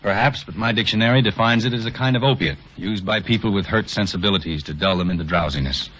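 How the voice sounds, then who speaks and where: A man's voice: lowish voice, quick pace, fairly bright tone. An actor playing a brooding, bitter male doctor in a 1940s radio drama, speaking in a somber, earnest way. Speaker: surgeon. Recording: dull lo-fi 1940s radio recording.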